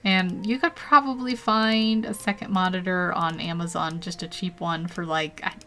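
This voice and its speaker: A woman's voice: on the low side, fairly even intonation, moderate pace, bright, forceful tone. A young woman chatting casually on a live stream while teaching an art program, rambling and laughing often.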